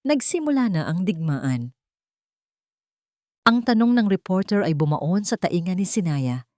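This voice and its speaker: A Filipino woman narrates a dramatic love story from a script. Her voice sits a little low for a woman, and the sound is dry, with very little room around it. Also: sing-song, measured pace, a little mellow, dry recording.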